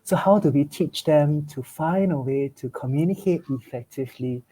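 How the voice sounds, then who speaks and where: A man's voice: fairly high pitch, normal speed, mellow, slightly rough, rough recording. A man from Singapore, a teacher, sharing ideas earnestly with fellow teachers in an online discussion and checking in with them as he goes. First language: English is first language. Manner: earnest, explanatory, checks in with listeners.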